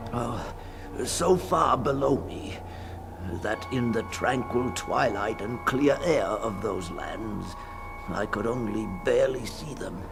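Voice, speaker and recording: A man's voice: mid-range voice, creaky. An actor playing a terrified man reliving a nightmare in dramatic first-person radio storytelling, anguished and stammering. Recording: 1960s radio drama with faint music.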